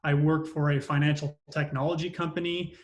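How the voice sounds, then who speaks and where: Male, slightly high voice, unscripted customer testimonial from a manager, halting delivery with frequent pauses. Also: flat delivery. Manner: hesitant, pausing often mid-sentence. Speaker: customer success operations manager giving a testimonial.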